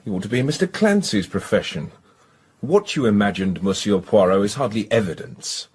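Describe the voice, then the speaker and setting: Husky, scornful accused murderer, swooping intonation. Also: male voice, lowish voice, expressive, a little fast, darkish tone. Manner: sardonic, indignant. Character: accused male murderer, a dentist, scornful and defiant. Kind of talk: radio drama acting.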